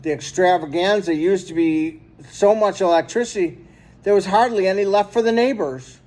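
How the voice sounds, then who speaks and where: A man reading a children's story aloud in an expressive, dramatic storytelling manner. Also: sits high, normal speed. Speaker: storybook reader.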